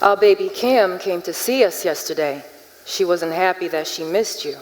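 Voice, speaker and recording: An actress playing a wary, loyal enslaved wife and house servant in a stage drama, firm and probing. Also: female voice, on the low side, moderate pace, darkish tone, a little hiss or hum, lightly acted. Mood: probing, knowing. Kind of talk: theatrical stage drama acting. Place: large indoor theater stage. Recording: reverberant theater with music underneath.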